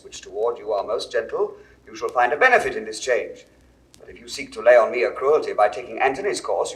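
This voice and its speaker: An actor playing a victorious emperor in a classical verse recording, speaking in a measured, courteous but firm way. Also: male voice, medium pitch, fairly bright tone, rough recording.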